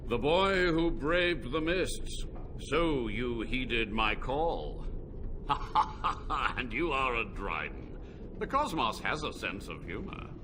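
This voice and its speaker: A proud old sorcerer who has outlived his century, weighing every word in a slow, measured cadence. His pitch swings widely between low brooding and sudden rises. Steady ambient noise runs beneath this game cutscene audio. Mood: grave. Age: older. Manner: slow, grave, measured delivery. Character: ancient male mage, proud, unrepentant scholar. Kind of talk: video game cutscene dialogue.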